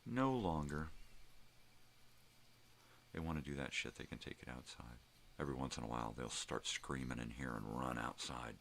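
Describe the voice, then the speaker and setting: A man's voice: rumbling low, moderate pace, vocal fry, pauses often, fairly bright tone. An older man with a folksy, rambling, salty way of talking, chatting casually as the host of a personal video log at home. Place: room at home. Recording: noticeable background noise.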